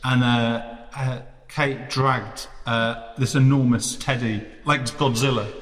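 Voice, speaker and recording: A man's voice: mid-range voice, steady tone, slow, long reverb tail, far from the mic. A man, the show's sound man, eagerly telling stories from childhood. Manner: eager storytelling. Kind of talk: casual chat.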